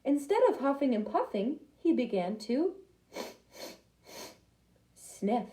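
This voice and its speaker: A young woman reads a children's picture book aloud in a high, very lively voice. Her tone is mellow and she takes her time, adding asides for young listeners. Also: slow. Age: young adult. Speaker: library storytime reader.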